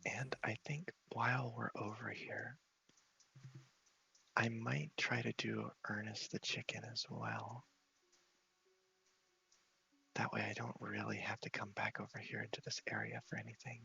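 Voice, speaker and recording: A man's voice: fairly low pitch, level tone, normal speed, gravelly, pushed and bright, husky, lo-fi sound. A man giving casual ASMR gameplay commentary, often whispering as he thinks aloud. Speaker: game streamer.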